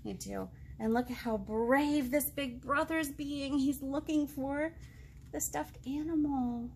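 A woman in her thirties reading a picture book aloud to young children as a storytime host, expressively, pausing to ask them questions. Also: high-pitched, slight background noise. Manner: expressive, child-directed, asks questions and pauses. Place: indoor room, seated.

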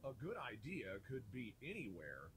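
A man's voice: medium pitch, huge pitch swings, normal speed, fairly bright tone, a little hiss or hum. A man narrating a children's game from a script, giving simple instructions for young kids.

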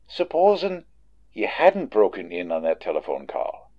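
An actor playing an unhurried, patronizing police desk sergeant in an old-time radio drama, placating and skeptical. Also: male voice, lowish voice, wildly swooping pitch, highs cut off. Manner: placating, condescending.

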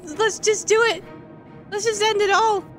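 A woman's voice: fairly even intonation, a little slow. A woman voicing a meek, awkward young male hedgehog in an improvised comedy fandub, whiny, hesitant and over-earnest. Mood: agitated. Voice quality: squeaky, whiny. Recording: loud music behind the voice. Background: game cutscene music.

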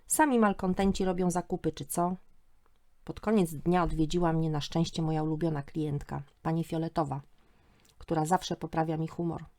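A woman with a slightly low voice narrates a comic first-person story. Her delivery is scripted and wry, with a sardonic edge as podcast host and storyteller. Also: steady tone, moderate pace, a little mellow.

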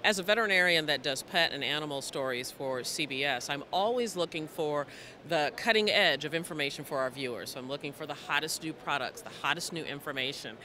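A woman who reports on pets for television, answering an interview on a convention floor in a brisk, enthusiastic way into a handheld mic. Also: sits low, bright, forceful tone, a little hiss or hum. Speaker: veterinarian TV correspondent. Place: convention hall.

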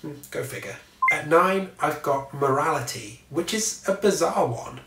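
A man's voice: fairly high pitch, unhurried, distant mic, very noisy. A man in his thirties giving a chatty, wry book review to camera from a small home office. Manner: chatty and wry, swears at passing vehicles. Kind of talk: casual talk to camera. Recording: echoey room, mic set back.

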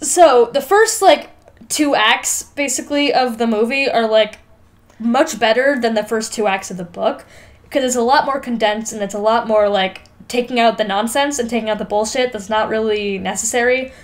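A woman's voice: a little high, normal speed. A young woman co-hosting a podcast, chatting casually and emphatically about a film, with plenty of swearing and filler likes. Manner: informal, sweary, emphatic, frequent "like". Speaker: podcast co-host. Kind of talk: casual film discussion chat.

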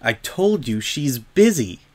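A man voicing a young, prim pony girl who is defensive and vulnerable, speaking haltingly in a storybook. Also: on the high side, big pitch swings, fairly bright tone, pitched above the performer's own voice. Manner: hesitant, halting. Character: haughty young filly turned sad, defensive, vulnerable.

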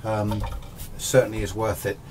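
Older british guy in his 60s, lowish voice, rambling hobby tech explainer, bit of background noise. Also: completely flat delivery, normal speed, darkish tone. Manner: casual, rambling, self-deprecating. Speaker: amateur radio hobbyist presenter. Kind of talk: informal technical explanation. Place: small indoor home radio workshop.

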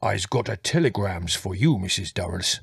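A man voicing a big, helpful Greek man with a rumbling, gravelly voice and a Greek accent, speaking broken English in an audiobook story. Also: lively intonation, moderate pace, no echo, clean studio sound, full character voice. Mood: hearty. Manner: broken English with extra plural endings.

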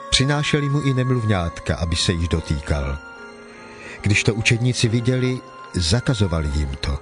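A man's voice: on the low side, sing-song. A man reading scripted narration for a dramatized scripture production. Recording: faint music behind the voice.

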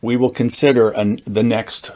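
A man in his sixties giving an informal explanatory talk to camera as a group host, measured and halting, with deliberate emphasis. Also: mid-range voice, flat delivery, darkish tone. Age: 60s. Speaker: meetup founder and host, teacher. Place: indoors, small studio with dark curtain backdrop.

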